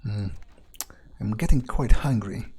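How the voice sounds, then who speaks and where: A man's voice: fairly low pitch, unhurried, noisy background. A man doing in-character gaming commentary as a cynical vampire, thinking aloud in a hesitant, dryly sarcastic, sweary way. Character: cynical, sardonic, foul-mouthed male vampire. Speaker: let's player speaking in character.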